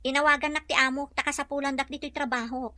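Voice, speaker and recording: Casting: woman as forthright young villager for Ilocano audio drama, high-pitched and sharp-toned. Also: flat delivery, fast talker, slight background noise. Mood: matter-of-fact.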